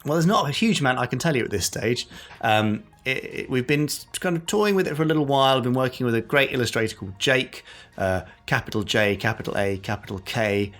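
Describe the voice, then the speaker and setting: A man's voice: medium pitch, brisk, fairly bright tone, soft background music. A man co-hosting a podcast, casually chatting through news items. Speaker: podcast co-host.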